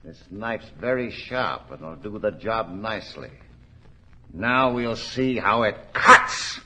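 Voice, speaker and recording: An actor playing a gruff, menacing, evasive innkeeper in a 1940s radio drama. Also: male voice, lowish voice, expressive, slow pace. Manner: gruff, threatening, colloquial. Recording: muffled old 1940s radio recording.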